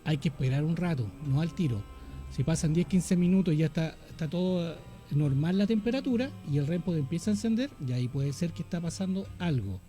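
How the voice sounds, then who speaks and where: A man's voice: fairly high pitch, animated, brisk, dark tone, faint music behind. A man hosting a radio talk show, speaking eagerly and plugging his services to listeners. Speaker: radio host.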